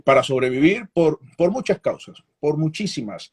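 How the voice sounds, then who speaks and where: Cuban journalist guest, middle-aged man, husky voice, candid and measured. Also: a little high, expressive, darkish tone. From Cuba. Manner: frequent restarts, measured and candid. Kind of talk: reflective interview answers.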